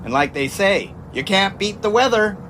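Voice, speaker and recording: A man's voice: sits high, expressive, moderate pace, fairly bright tone, slight background noise. A man playing a breezy, confident, chummy 1920s test pilot in an audio drama. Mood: jaunty. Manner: jaunty, chummy.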